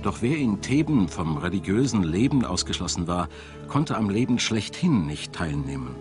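A man narrating a scripted documentary in a measured, dramatic storytelling manner. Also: sits low, big pitch swings. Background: music.

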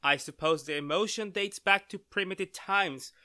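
An actor playing an arrogant, excitable male scientist, condescending and theatrical, in an old-style radio drama. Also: high-pitched, normal speed, clean tone. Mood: smug, musing. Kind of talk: retro 1930s radio drama acting.

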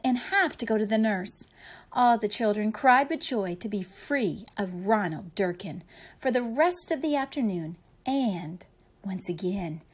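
A woman's voice: medium pitch, a bit bright. A woman reading a picture book aloud expressively for young children, explaining things simply like a teacher.

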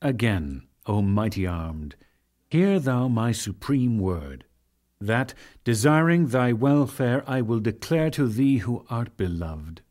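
A man voicing a slow, solemn divine lord. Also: mid-range voice, big pitch swings, a little mellow. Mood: solemn, exalted. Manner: solemn, measured, declamatory, with deliberate pauses. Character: Krishna, solemn divine lord teaching a devotee. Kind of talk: dramatic reading of sacred scripture.

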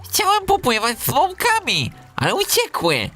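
A man voicing a lonely, gentle, modest crocodile in a children's storybook, with drawn-out, swinging delivery. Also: extremely high pitch, huge pitch swings, faint music behind, voice pushed up, exaggerated voice. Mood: hurt, plaintive.

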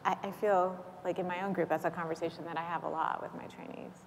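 Woman, middle-aged, animated, lower voice, faint room hum, big hall reverb. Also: fast. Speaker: panelist. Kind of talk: panel discussion. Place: stage in a large auditorium. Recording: reverberant auditorium sound.

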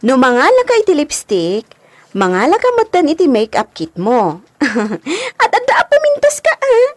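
A woman's voice: high voice, huge pitch swings, normal speed, no room sound. An actress playing a chatty, pushy woman friend selling makeup in a radio drama. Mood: playful, coaxing.

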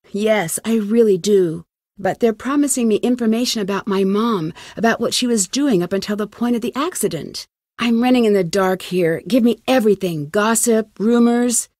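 A woman's voice: mid-range voice, darkish tone, dry recording, clean studio sound, lightly acted. An actress playing an earnest, determined young female amateur detective in conversational video game dialogue. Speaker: lead character in a detective adventure game.

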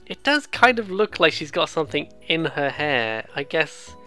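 A let's player giving casual, excitable, rambling game commentary, often interrupting themselves and reading dialogue aloud. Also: medium pitch, normal speed. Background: game music.

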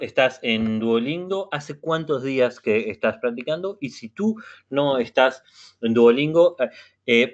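A man from Uruguay with a Uruguayan Spanish accent, leading an online Spanish conversation class, speaking slowly and patiently and repeating words for learners. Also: mid-range voice. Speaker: language class facilitator. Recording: muffled web meeting audio.

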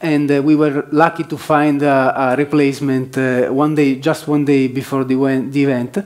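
Organizer guy giving a talk, mid pitch, lots of uhs and restarts. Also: flat delivery, normal speed. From southern Switzerland. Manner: hesitant, many uh fillers and restarts. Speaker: conference organizer. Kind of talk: conference presentation. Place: conference hall.

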